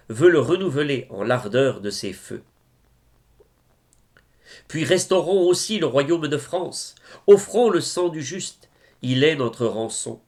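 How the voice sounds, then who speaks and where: A man reciting devotional poetry in a solemn style, with measured, reverent phrasing. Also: mid-range voice. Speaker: reader of religious poetry.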